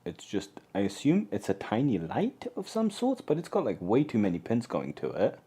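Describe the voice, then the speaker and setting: A man's voice: lowish voice, huge pitch swings, moderate pace, dark tone, slightly rough. A man, talking casually to his stream audience at a home workbench. He trails off, repeats words and mutters as he works on the parts. Born in Poland but raised in England, he keeps an easygoing, unscripted tone. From born in Poland, raised mostly in England. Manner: hesitant, restarts often, murmurs to himself while tinkering. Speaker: electronics livestream host. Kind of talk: casual live stream chat while taking apart a camera.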